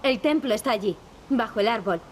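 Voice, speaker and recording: An actress with a Castilian Spanish accent playing a determined, curt young princess in a video game. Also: female voice, fairly high pitch, animated, a little fast, background noise, close to an everyday voice. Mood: resolute. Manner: curt, serious.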